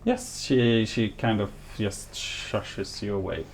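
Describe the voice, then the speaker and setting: A man narrating an improvised tabletop roleplay as game master, casual, sweary and halting. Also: lowish voice. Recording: faint background noise.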